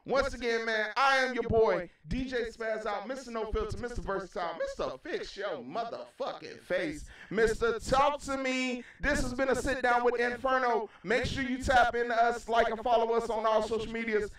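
A man's voice: very high-pitched, normal speed, few pauses, fairly bright tone. A middle-aged American man hosting an interview in a casual hype style, emphatic and repetitive. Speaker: radio show host and DJ. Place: small indoor room, video call. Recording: very echoey, distant from mic.

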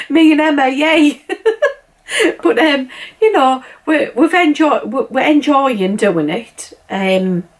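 A woman's voice: high voice, lively intonation, slow. A woman in her forties with a British accent, chatting casually to camera in her living room, giggly and full of fillers. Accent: British English. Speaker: vlogger. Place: living room at home, indoors.

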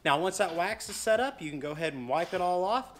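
A relaxed man in his thirties walks through a hands-on boat repair, his tone easygoing and folksy. His voice sits a little high for a man. The camera mic is set some distance off in a big showroom, with a steady hiss of noise underneath. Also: fast talker. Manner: easygoing, folksy instructional talk. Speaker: boat dealer and channel host. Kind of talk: casual how-to demonstration.

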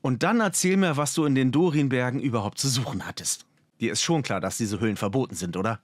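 A man's voice: medium pitch, fast, no room sound, clean studio sound, lightly acted. A man voicing a grumpy, sardonic mage and exasperated friend in colloquial audiobook dialogue. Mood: bemused.

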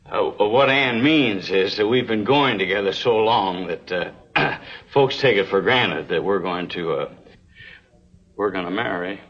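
An actor playing a stubborn young saloon keeper in an old TV western, with a plain-spoken delivery. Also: male voice, on the low side, a little slow, fairly bright tone, husky, exaggerated voice. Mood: awkward, apologetic. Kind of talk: 1960s TV western acting. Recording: dull old TV soundtrack, faint music.

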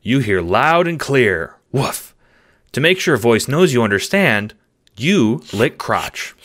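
Man playing an excitable, simple-minded dog, swooping pitch. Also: takes it slowly. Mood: earnest. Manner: broken baby-talk sentences, thinking aloud with barks.

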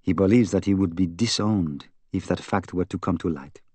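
Audiobook role: earnest, fussy middle-aged Belgian detective, French-speaking accent, precise and insistent, very dry close sound. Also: male voice, low-pitched, moderate pace, mellow, breathy edge, dry recording. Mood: lecturing. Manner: precise, formal, emphatic phrasing. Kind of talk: audiobook character acting.

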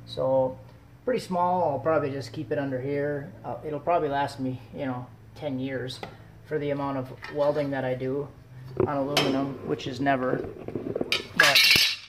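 A young man talking through a welding project in his home garage, relaxed and thinking aloud as he works, recorded on a camera mic that drifts near and far. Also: medium pitch, normal speed, heavy background noise. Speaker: DIY welding vlogger. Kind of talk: casual how-to narration. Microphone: camera mic, moving between close and far.

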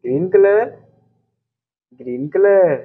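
A man's voice: high-pitched, expressive, slow pace, breathy edge. A man presenting a simple lesson to young children, repeating names in an instructional way. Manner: repetitive, instructional, addressing children. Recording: muffled, narrow phone-like sound.

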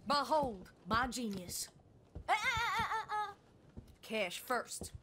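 High-voiced sassy young gunsmith. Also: female voice, sing-song, takes it slowly, bright, forceful tone, a little hiss or hum, exaggerated voice. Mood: smug, teasing. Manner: sassy, brash, teasing. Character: boastful, feisty young female gunsmith mechanic. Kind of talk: animated video game voice acting.

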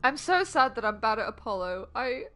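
A woman's voice: fairly high pitch, very animated, normal speed, pushed and bright. A young woman streaming a game, chatting casually with a friend, giggly and playful. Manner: giggly, playful, sings bits of songs. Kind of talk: casual gaming chat with a friend. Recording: game music playing under the voice.

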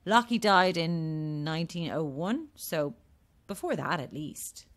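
A woman's voice: sits low, big pitch swings, slow, full character voice. An actress playing a sardonic, world-weary woman trapped at an endless cursed party, dry and blunt, in an audio drama. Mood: matter-of-fact.

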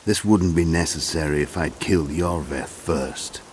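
An actor playing a hulking, calm, menacing assassin in a video game, deliberate and coolly confident. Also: male voice, extremely low pitch, a little hiss or hum. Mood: cold, menacing.

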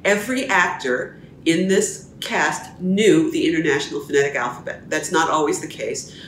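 Echoey woman dialect coach, measured. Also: lowish voice, a bit bright, far from the mic, slight background noise. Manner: measured, explanatory, teacherly. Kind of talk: explaining her work to camera. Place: small room indoors at home. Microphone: camera at a distance. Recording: echoey room, voice a little off-mic.

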